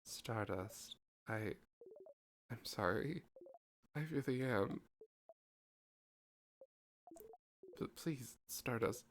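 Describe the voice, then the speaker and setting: A man voicing a guarded, star-like male guide who hesitates and stammers, speaking lower and softer. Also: measured pace, slight background noise. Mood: apologetic. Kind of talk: fan voice acting of game dialogue.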